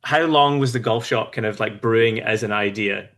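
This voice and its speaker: A man hosting a casual interview, asking questions and giving quick easygoing affirmations. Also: fairly low pitch, a bit bright. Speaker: podcast host.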